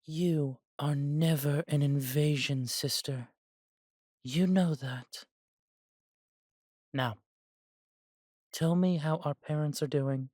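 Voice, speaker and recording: An unhurried man playing an elf brother from a fantasy audio drama, recorded dry and close. He is warm and humble, devoted to his family, and phrases things a little formally with thoughtful pauses. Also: a little high, steady tone, no echo. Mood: warm, reassuring. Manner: measured, slightly formal phrasing with sighs and pauses. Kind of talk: scripted fantasy audio roleplay.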